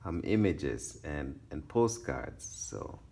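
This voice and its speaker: A man in his thirties from Kenya with a Kenyan accent, presenting his photography exhibition in a soft-spoken, halting way with fillers. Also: rumbling low, very animated. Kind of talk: gallery talk.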